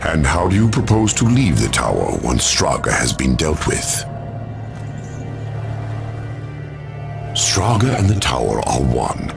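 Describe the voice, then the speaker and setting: An actor voicing a grave, anxious, dignified old angel in a video game, speaking formally and theatrically. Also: male voice, extremely low pitch, big pitch swings, moderate pace, creaky, over music, heavily acted. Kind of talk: video game drama acting.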